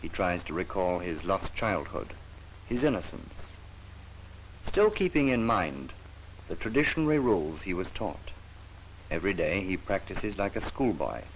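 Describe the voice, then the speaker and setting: A man with a very deep voice narrates an educational film in the manner of the 1950s. His delivery is solemn and measured, yet the pitch moves expressively through each phrase. The archival soundtrack carries some background noise. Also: sing-song, poor audio. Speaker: documentary narrator.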